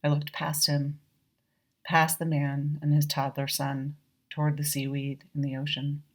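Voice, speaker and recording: Low-voiced woman reading fiction slowly. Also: completely flat delivery. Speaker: author reading own short story. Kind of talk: scripted literary narration.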